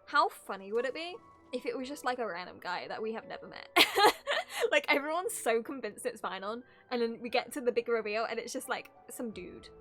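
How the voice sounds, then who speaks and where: A woman's voice: fairly high pitch, expressive, fairly quick, bright, forceful tone, soft background music. A woman streamer giving casual live reaction commentary to a video game, chatty, rambling and giggly.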